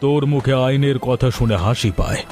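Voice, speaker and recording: A man's voice: mid-range voice, moderate pace, a little mellow, lightly acted. A man acts out an angry, righteous villager from a folk-tale cartoon. He speaks in an accusing, scornful manner, with faint music underneath. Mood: scornful anger. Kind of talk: dramatic Bengali folk-tale cartoon dialogue.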